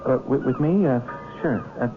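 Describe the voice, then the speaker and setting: An actor playing a flustered, polite personal secretary who stammers hesitantly, in vintage radio drama style. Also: male voice, mid-range voice, normal speed, phone-quality sound, faint music behind, lightly acted. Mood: nervous, defensive. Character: flustered male personal secretary of a wealthy knight. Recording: thin, noisy vintage radio recording.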